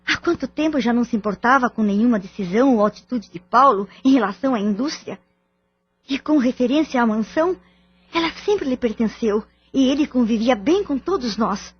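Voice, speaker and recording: Actress as firm, composed middle-aged mother for audio novela; highish husky voice, deliberate theatrical lines, muffled recording. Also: animated. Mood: disappointed, musing. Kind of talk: Portuguese-language audio drama acting. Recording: muffled, lo-fi audio drama track.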